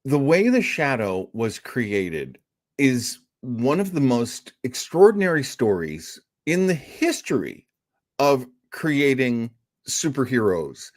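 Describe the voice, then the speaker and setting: A man's voice: mid-range voice, very animated, slow pace. A man hosting a casual show, rambling, joking at his own expense and interrupting himself when distracted. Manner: jokey, self-deprecating. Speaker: host. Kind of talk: casual live show chat.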